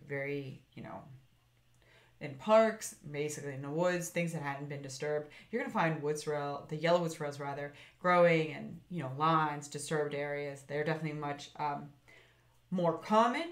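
A woman's voice: sits low, roomy sound. A woman hosting a foraging channel, narrating a plant slideshow in a chatty, meandering way with fillers and self-corrections. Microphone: voice-over over slides.

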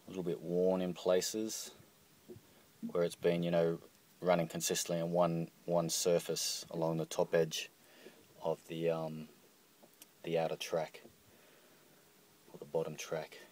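A man's voice: deep voice, completely flat delivery, unhurried, pauses often, a little mellow. A middle-aged man talking casually through a boat door repair, hesitant with many ums and restarts, recorded on a handheld phone. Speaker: boat owner showing a repair. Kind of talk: casual how-to walkthrough. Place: on a boat cockpit, indoors at the door track. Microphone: handheld phone camera.

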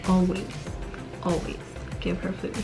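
Casting: female vlog co-host, playful teaser, relaxed slow delivery, mid pitch, background music. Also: gravelly. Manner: playful, teasing. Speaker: co-host of a channel Q&A. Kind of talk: casual joking chat. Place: small dim bedroom, indoors.

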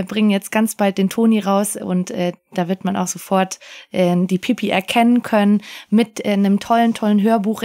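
A woman's voice: mid-range voice, a little mellow. A woman talking casually as a podcast host.

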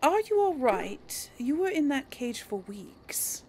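A woman hosting a casual let's play. She speaks very slowly with a lot of pitch movement, in a musing, informal way, over faint background noise. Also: sing-song, warm tone. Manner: giggly, musing. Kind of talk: casual game commentary with read-aloud dialogue choices.

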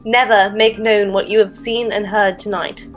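A woman's voice: mid-range voice, level tone, moderate pace, soft background music, natural voice. A woman playing a brooding, earnest young noble in a theatrical, Shakespearean audio drama manner. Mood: solemn, urgent. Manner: theatrical, archaic Shakespearean phrasing. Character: brooding, earnest young Danish noble sworn to avenge a father.

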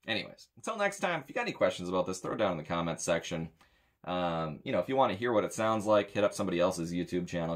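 A deep-voiced American man with plenty of gravelly fry talks bluntly to camera. His casual, rambling review grumbles along, with the pitch moving about in a lively way. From United States. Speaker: sound tech reviewing audio gear. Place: small indoor room with metal shelving.

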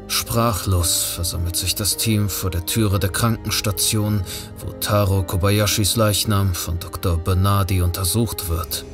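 Grim thriller narrator played by a man, deep voice, scripted delivery, music underneath.

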